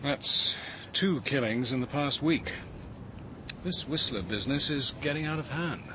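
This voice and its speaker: A man's voice: mid-range voice, measured pace, noisy background, natural voice. An actor playing a composed, thoughtful male detective in a radio drama, articulate and precise in his speech. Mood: composed, reasoning. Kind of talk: acted British radio drama dialogue.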